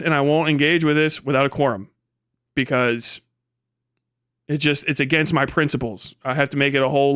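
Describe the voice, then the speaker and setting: A man talks to his livestream in a fairly high, very clear voice. He thinks out loud in a casual, animated ramble and curses freely. The sound is close and dry, with little room in it. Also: dry recording. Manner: rambling, animated, frequently swearing. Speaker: livestream host.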